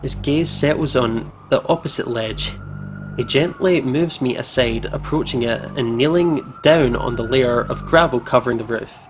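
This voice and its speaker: A man's voice: medium pitch, moderate pace, over music. A man hosting a visual novel playthrough, reading the narration and adding casual commentary. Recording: clear siren and traffic noise behind. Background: game ambience of sirens, vehicles.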